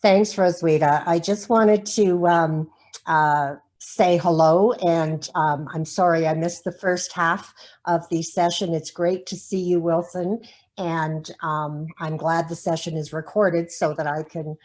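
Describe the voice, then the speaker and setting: A woman with a fairly low voice introduces a guest on a video call. She sounds friendly, pauses often and fills the gaps with "um" as she speaks. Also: fairly even intonation, darkish tone. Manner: hesitant and friendly, with frequent fillers. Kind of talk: casual spoken introduction.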